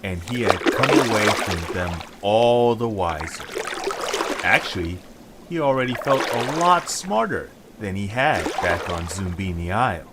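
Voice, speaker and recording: A man with a fairly low voice narrates a story from a script. The recording has clear background noise behind him. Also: expressive, a little slow. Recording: noisy home recording.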